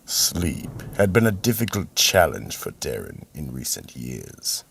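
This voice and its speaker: A man playing an ominous narrator of a radio mystery, with a very deep, gravelly, raspy voice and deliberate storytelling. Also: huge pitch swings, fairly bright tone, heavily acted. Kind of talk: scripted radio drama narration.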